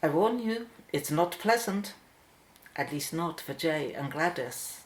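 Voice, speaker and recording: A woman's voice: deep voice, animated, a little slow. A woman playing an urgent, determined woman scientist in a radio play, grave and wary. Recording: roomy, echoing studio sound.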